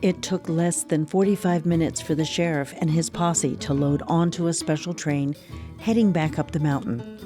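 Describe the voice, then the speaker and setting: A woman narrating a scripted radio drama in a measured storytelling manner. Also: low-pitched, mellow, soft background music.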